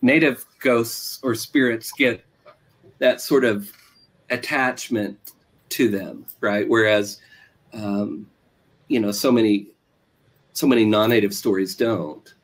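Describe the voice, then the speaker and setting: An American man from Oklahoma answering interview questions as a writer, thoughtfully and haltingly, searching for words and repeating phrases. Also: fairly low pitch, fairly even intonation. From Oklahoma, USA. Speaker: interviewed novelist.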